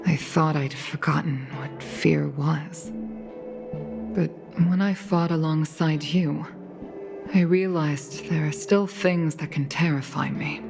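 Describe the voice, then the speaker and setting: Weary veteran pilot mother, low gravelly voice, formal, gracious, protective, video game dialogue over music. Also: fairly even intonation, slow pace, heavily acted. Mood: weary, reflective. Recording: background music under the voice.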